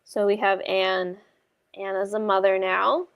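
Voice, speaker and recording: A woman's voice: mid-range voice, little pitch movement, measured pace. A woman hosting a casual book discussion on a video call, talking in a hesitant, rambling way with lots of "like". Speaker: book club livestream host.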